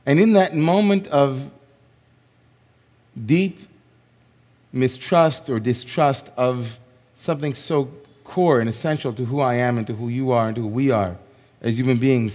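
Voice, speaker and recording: A rabbi preaches in a measured, unhurried way, leaving long pauses between phrases. His voice sits at an ordinary male pitch but sounds worn and hoarse. The sound carries a long reverb, as if it fills a large hall. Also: a little mellow. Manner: slow, reflective, with long pauses. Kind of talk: sermon.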